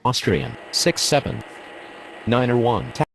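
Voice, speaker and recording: A man's voice: mid-range voice, a little slow, vocal fry, rough recording. A man speaking formal, procedural air traffic radio phraseology in clipped calls.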